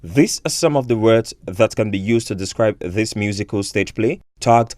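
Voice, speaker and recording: A man's voice: lowish voice, moderate pace, darkish tone, a little hiss or hum. A man narrating a scripted television news report.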